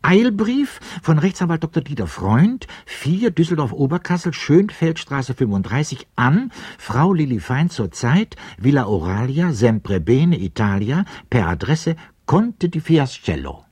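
A wry, fussy storyteller narrates a radio play. His voice is husky, with lively swoops in intonation. He relishes small details in a pedantic way. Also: mid-range voice, unhurried, no room sound. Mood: brisk, announcing.

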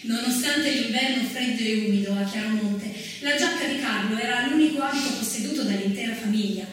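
A woman performing as an impassioned theatrical narrator of women's history, declaiming with dramatic pauses on a stage, recorded from a distance. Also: on the high side, natural voice. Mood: storytelling. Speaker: stage actress in a two-woman theatre play. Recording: echoey stage, distant mic, faint noise.

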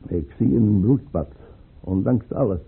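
Raspy, troubled imperial chancellor. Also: male voice, on the low side, full character voice. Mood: sorrowful, dreading. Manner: formal, measured, troubled. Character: anxious, principled imperial chancellor, reluctant conspirator. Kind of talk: Dutch radio play acting. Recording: muffled, narrow-band old radio recording.

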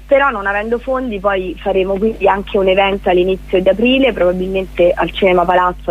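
A woman being interviewed by phone for radio, explaining earnestly and emphatically, repeating words for stress. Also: mid-range voice. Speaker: interview guest, prison theatre project leader. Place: on a phone call to a radio show. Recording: narrow tinny phone line.

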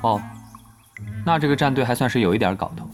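Guy playing guarded gamer kid in his young adult years, audio drama, dry and probing, clear music behind him. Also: mid-range voice, animated, quick pace, lightly acted. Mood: skeptical, probing. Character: cool, guarded, skeptical young male gamer playing a healer. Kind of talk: Chinese audio drama acting.

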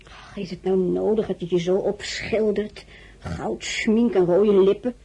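An actress playing a blunt, grumbling, loyal older maidservant in a radio drama, with a scolding, familiar manner. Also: female voice, medium pitch, steady tone, measured pace, warm tone, husky, low-quality recording. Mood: scolding, mocking. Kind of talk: radio play acting.

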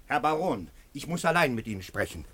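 An actor playing an officious, pedantic tattler in a comic radio drama, reporting in a hurried, confidential manner. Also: male voice, medium pitch, big pitch swings, a bit bright, a little hiss or hum. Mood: grave, officious. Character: officious, tattling household informer, grave and pedantic.

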